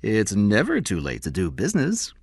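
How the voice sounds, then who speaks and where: A man voicing a small, sly con man in an audiobook story, oily and self-righteous, with drawn-out, insinuating politeness. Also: fairly low pitch, huge pitch swings, creaky, slightly rough, no echo, clean studio sound, heavily acted. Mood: oily, insistent.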